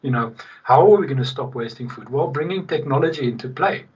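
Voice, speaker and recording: A middle-aged man from South Africa, a guest in a casual podcast interview, talking in an animated, emphatic way with frequent restarts. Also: medium pitch, a little fast, slight background noise. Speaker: guest, logistics executive. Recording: distant, dull remote-call sound.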